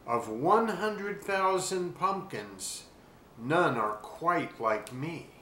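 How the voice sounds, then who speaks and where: An older man reading a children's storybook aloud expressively and deliberately, pausing to describe things. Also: a little high, lively intonation, pushed and bright. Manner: deliberate, with pauses to describe the pictures. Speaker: children's book reader. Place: small furnished room at home. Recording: roomy webcam sound with faint hiss.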